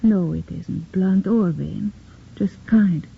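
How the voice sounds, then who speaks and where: An actress playing a grieving young widow, quiet and firm, in 1940s radio acting. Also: female voice, medium pitch, slow pace, vocal fry, slight background noise. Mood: bitter. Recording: tinny old radio recording.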